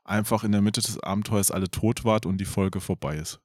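A man's voice: lowish voice, a little fast, a little mellow. A man asking questions as the interviewer in a casual conversation.

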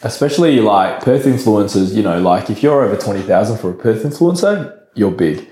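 A man with a somewhat low, croaky voice hosts a podcast in a relaxed, chatty way. His tone is dark and mellow, and the room around him rings with a noticeable echo. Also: brisk. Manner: relaxed, chatty, laughs often. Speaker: podcast host. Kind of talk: casual podcast chat.

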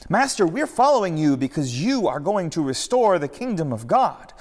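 A man's voice: a little high, very animated, normal speed, in a large hall, voice pushed up. A man voicing Peter, an anxious male disciple who sputters and insists, in a storybook reading. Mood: pleading.

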